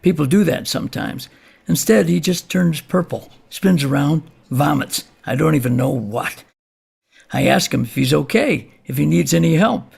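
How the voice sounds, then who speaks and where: A man's voice: a little high, lively intonation, normal speed, creaky, darkish tone, slightly rough, exaggerated voice. An actor playing a chatty, folksy older neighbor who tells his story in a colloquial, easygoing way in an audio play. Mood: animated, bemused. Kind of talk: theatrical monologue in an audio play.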